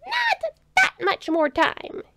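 A small, pert winged alien from a storybook, acted out by a woman. The voice is pitched very high and swoops around. It pipes up insistently, nitpicking and curious. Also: huge pitch swings, slow, sharp tone, exaggerated voice. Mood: skeptical. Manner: piping, insistent, questioning.

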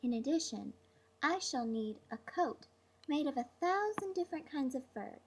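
A woman's voice: high-pitched, lively intonation, normal speed, voice pushed up. A woman voicing a young, clever, pleading princess in a storybook fairy tale. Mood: determined.

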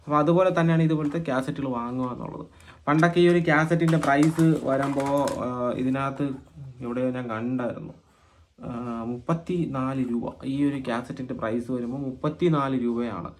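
A man from Kerala hosting an informal explainer vlog, speaking haltingly and often repeating phrases as he thinks aloud. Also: medium pitch, fairly even intonation. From Kerala, India. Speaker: video host and cassette collector.

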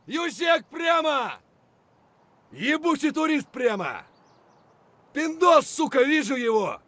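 Game soldier, male, aggressive, crude, urgent shouts, very high-pitched, forceful. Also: no room sound, exaggerated voice. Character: male Russian soldier yelling combat callouts, aggressive and crude. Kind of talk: shouted video game battle barks.

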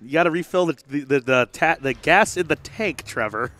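A young man commentating a live fighting game tournament, joking and getting loud whenever the play heats up. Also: fairly high pitch, normal speed, background noise. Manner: excitable, jokey, gets loud when hyped. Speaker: esports commentator. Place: large hangar hall at a gaming event.

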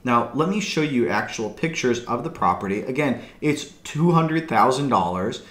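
A man's voice: mid-range voice, animated, moderate pace, fairly bright tone. Upbeat man broker in echoey room. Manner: enthusiastic, chatty, conversational. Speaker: real estate broker. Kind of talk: upbeat property walkthrough video. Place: small room indoors.

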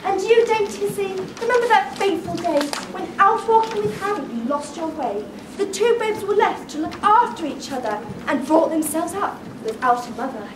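Young woman playing a very high-pitched pantomime fairy. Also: animated, normal speed, hardly any pauses, noisy background. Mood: proclaiming, kindly. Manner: declamatory, sing-song rhyming. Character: kindly fairy godmother in a Christmas pantomime. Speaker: pantomime performer. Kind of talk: rhyming theatrical stage delivery. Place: theatre stage. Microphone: far camera. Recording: echoey theatre, distant, loud music and audience.

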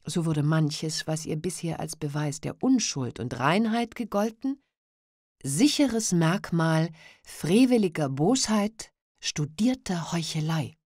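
Slow, dark-voiced woman audiobook narrator. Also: sits low, animated, no room sound, studio quality. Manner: measured, unhurried storytelling. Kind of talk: scripted narration of a classic novella.

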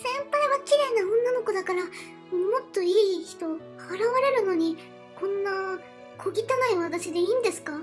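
A woman's voice: very high-pitched, animated, normal speed, soft background music, pitched above the performer's own voice. A woman voicing a shy, gullible younger schoolgirl with a crush, stammering and protesting politely in a hobby romance voice drama. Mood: shy, flustered.

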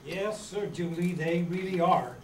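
Man playing high-voiced, take-charge castaway leader. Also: a little slow. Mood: earnest. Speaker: amateur actor in a live radio-play reading. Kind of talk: 1930s children's radio adventure acting, read from script. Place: meeting room stage before seated audience. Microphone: far camera. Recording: roomy, distant camera mic, faint noise.

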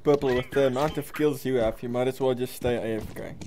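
Teasing man over loud game noise. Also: medium pitch, fairly even intonation, a little fast. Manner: teasing, playful. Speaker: online game player.

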